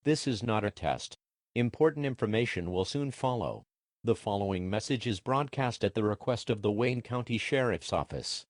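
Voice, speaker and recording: A man voicing an impersonal emergency alert announcer in a formal, measured, official manner. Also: fairly low pitch, animated, no echo, clean studio sound, close to an everyday voice.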